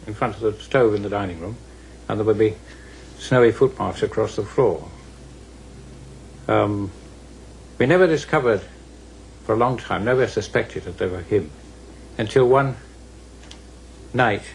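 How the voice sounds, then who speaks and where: Casting: English man, seventies, low gravelly voice, rambling anecdotes, slight background noise. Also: a little mellow, low-quality recording. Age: 70s. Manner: rambling, conversational. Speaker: interviewee recalling childhood. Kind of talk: anecdotal interview reminiscence.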